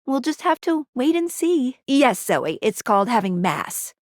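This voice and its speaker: A woman voicing a chipper, helpful young female magical guide in a video game, with a perky, playful and expressive manner. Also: fairly high pitch, a little slow, dry recording, clean studio sound. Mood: quirky, musing. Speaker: voice actor playing a guide character in a dating simulator game. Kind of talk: animated video game character dialogue.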